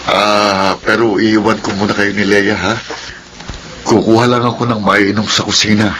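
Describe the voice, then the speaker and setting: An actor playing a courteous husband in a Filipino radio drama, speaking in a polite, casual way. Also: male voice, on the low side, flat delivery, moderate pace, background noise, lightly acted. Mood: hospitable. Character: courteous husband welcoming a guest.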